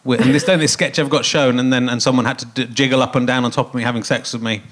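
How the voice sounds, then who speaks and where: A man's voice: mid-range voice, flat delivery, very fast, a bit bright. A middle-aged man with a snotty cold hosting a comic live podcast on a theatre stage, rambling and self-mocking with lots of fillers. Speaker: podcast host.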